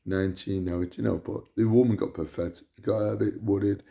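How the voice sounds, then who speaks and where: A man with a loud voice, delivering an indignant, repetitive rant to camera as a vlogger. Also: lowish voice, fairly even intonation, fairly quick, vocal fry, lo-fi sound. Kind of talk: ranting monologue to camera.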